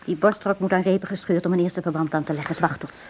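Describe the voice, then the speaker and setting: A woman's voice: on the low side, steady tone, fast talker, a little hiss or hum, lightly acted. An actress playing an anguished, tender sister caring for her wounded brother in a Dutch radio drama. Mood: gentle, caring. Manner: emotional, reproachful, pleading.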